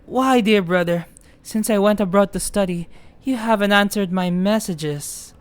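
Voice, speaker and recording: A woman voicing a creepy, obsessively loving younger brother, speaking slowly in a courtly, ominously affectionate way over music. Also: mid-range voice, clear, resonant tone, lightly acted. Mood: wounded, obsessive.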